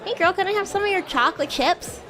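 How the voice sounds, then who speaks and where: A woman's voice: very high voice, fast talker, crowd noise, voice pushed up. A woman voicing a bratty, flustered young female demon classmate in improvised livestream roleplay, teasing and stammering as she acts haughty and defensive. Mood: chatty. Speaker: VTuber streamer.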